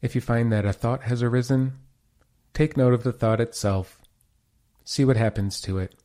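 A man leading a guided meditation as the teacher, giving calm, measured instructions. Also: medium pitch.

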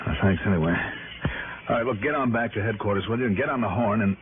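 An actor playing a weary, no-nonsense private investigator in a 1960s radio detective drama, terse and commanding. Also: male voice, fairly low pitch, fast talker, phone-quality sound, music in the background, natural voice. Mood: urgent, commanding. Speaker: lead actor and first-person narrator. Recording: thin band-limited vintage radio recording.

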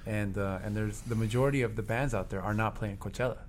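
A man in a band talking casually in an interview, an animated and earnest storyteller. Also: on the low side, quick pace. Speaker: band member.

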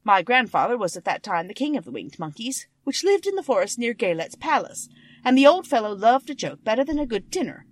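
A woman voicing a cheerful winged monkey king, telling his band's history in an expressive storybook manner. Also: a little high, a little fast. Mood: storytelling. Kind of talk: children's storybook character narration.

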